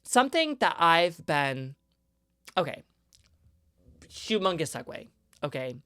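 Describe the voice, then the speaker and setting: A man in his twenties hosting a solo podcast, with a higher-pitched voice and an earnest, emphatic way of ranting. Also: sing-song, takes it slowly, pushed and bright, clear, resonant tone, dry recording, clean studio sound. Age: 20s. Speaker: podcast host.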